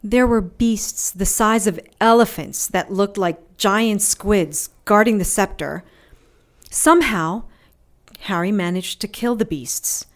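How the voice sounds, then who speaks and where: A woman's voice: mid-range voice, slow, natural voice. A woman playing a tough, wounded adventurer in an audio drama, telling her story in a wry, sardonic, colloquial way. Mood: wry storytelling.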